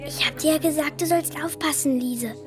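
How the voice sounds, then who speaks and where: Female performer as high-voiced eerie little girl. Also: fairly quick, over music, close to an everyday voice. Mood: ominous, confiding. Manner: confiding, ominous. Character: eerie, secretive little girl with ominous warnings. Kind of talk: radio drama dialogue.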